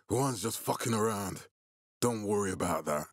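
A commanding male militia leader from a video game. His voice is low and rough-edged, recorded very dry. He speaks deliberately, with stern resolve and a fatherly authority. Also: animated, dry recording. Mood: commanding, resolute.

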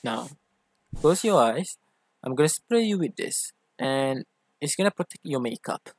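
A man voicing a playful, doting, easily jealous femboy boyfriend in an ASMR roleplay, with a teasing, expressive delivery. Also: medium pitch, dry recording. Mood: teasing, soothing. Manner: teasing, gushing, expressive, drawn-out emphasis. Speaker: ASMR voice actor.

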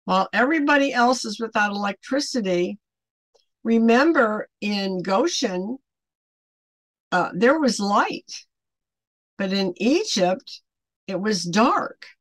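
An older woman around seventy speaks slowly at a medium pitch in a dry, close room sound. She hosts an unscripted faith talk from home, wandering between thoughts and leaning hard on key words and rhetorical questions. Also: animated, no room sound. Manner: rambling, emphatic, rhetorical questions. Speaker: online ministry host. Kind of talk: unscripted devotional talk to camera. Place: small furnished home room, indoors.

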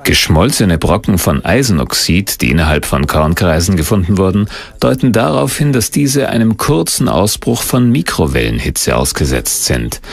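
A man's voice: sits low, big pitch swings, moderate pace, creaky. A man reading scripted narration as the narrator of a documentary.

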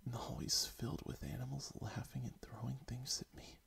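A man's voice: mid-range voice, little pitch movement, fairly quick, gravelly, fairly bright tone, slightly rough, heavily acted. A man whispering the lines of a young female rabbit, anxious and tender, with a quivering voice. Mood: upset.

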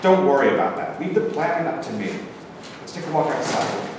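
A man's voice: fairly high pitch, sing-song, a little fast. A young man playing a brash, stubborn soldier and baseball fan in a staged play reading, with an emphatic, cocky manner. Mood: brash, insistent. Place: large bare indoor rehearsal room. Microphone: distant camera microphone. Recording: very echoey room, distant mic, faint noise.

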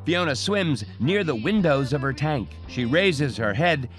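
A man's voice: a little high, animated, a little slow. A man reading scripted audio description as a narrator. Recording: loud music under voice. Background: music with guitar and singing.

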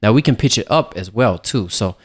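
A man narrating a software tutorial as an instructor, explaining things in a casual way. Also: fairly low pitch, lively intonation, fairly quick, breathy edge. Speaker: music production instructor.

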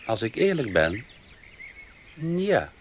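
A man's voice: on the low side, huge pitch swings, takes it slowly, full character voice. An actor playing a deferential servant in his twenties, hesitant and respectful, in a 1970s radio drama. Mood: modest, shy. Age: 20s. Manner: hesitant, respectful, stammering at times. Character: modest, deferential male servant of twenty-three. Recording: faint noise, 1970s radio recording.

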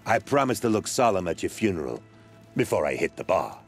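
An actor playing a bitter, wry middle-aged mercenary scout in a video game, grumbling sardonically. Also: male voice, sits low, very animated, a little fast, faint music behind. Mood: dry, resigned. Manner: sardonic, grumbling, colorful complaints. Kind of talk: video game cutscene dialogue.

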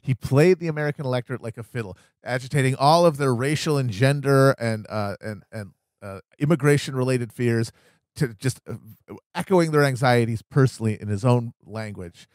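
A man's voice: mid-range voice, fairly quick, a little mellow, no room sound, studio quality. A man co-hosting a podcast, giving emphatic, wordy, profane comedic commentary on politics in a casual style. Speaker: podcast co-host.

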